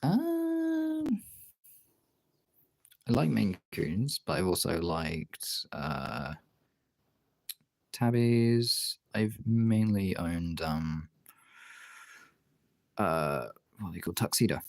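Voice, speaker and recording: Casual British streamer: mellow, creaky delivery, sweary, thinking out loud to chat. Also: low-pitched, big pitch swings, very slow, long pauses, no room sound, clean studio sound. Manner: informal, sweary, thinking aloud. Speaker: live streamer drawing and chatting with viewers.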